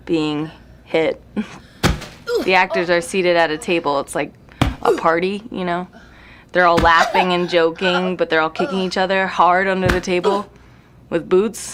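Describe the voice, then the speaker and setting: A woman's voice: fairly low pitch, fairly even intonation, unhurried, long pauses, natural voice. A woman playing a jaded, self-deprecating night-owl composer in an audio drama, rambling bluntly with sardonic, slangy swearing. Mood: eager, defensive. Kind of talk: naturalistic audio drama interview acting. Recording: noisy room recording.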